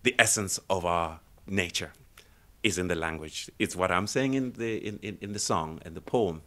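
A man in his fifties, a poet, introduces a poem slowly and earnestly. His voice is very deep yet bright and forceful. He speaks from a book-lined room at home. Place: home room with bookshelves, indoors.

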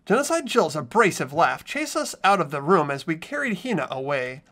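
A woman's voice: low voice, very animated, a little fast, a bit bright. A woman reading narration and lead dialogue in a game playthrough, with casual banter. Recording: music bed behind voice.

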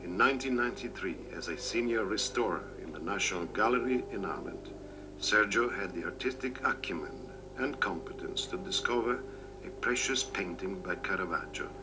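An older man with a very low voice narrates a documentary in a measured, formal way. The home-made recording carries a steady, clearly audible hiss of background noise. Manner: measured, formal, read from a script. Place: room with bookshelves.

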